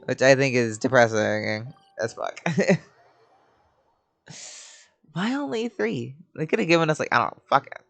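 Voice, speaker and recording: A woman's voice: extremely low pitch, animated, measured pace, gravelly, long pauses, a bit bright. A woman giving casual, sweary reaction commentary while playing a video game. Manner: informal, sweary, reacts aloud. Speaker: game streamer. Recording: game music behind the voice.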